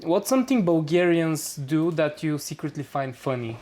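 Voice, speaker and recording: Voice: mid-range voice, animated, normal speed, a little mellow. A host of a student interview show, upbeat and reading questions from a script.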